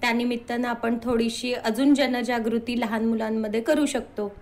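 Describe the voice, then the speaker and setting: A woman's voice: a little high, fairly even intonation, normal speed, darkish tone. A woman, a doctor answering interview questions in an explanatory way, mixing in English medical terms. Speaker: doctor, podcast guest.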